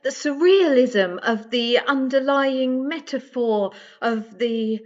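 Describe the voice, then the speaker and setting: A woman playing a quick-witted, placating alien traveller held prisoner in an amateur audio drama, with a coaxing manner. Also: high voice, highs cut off. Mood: eager, prompting.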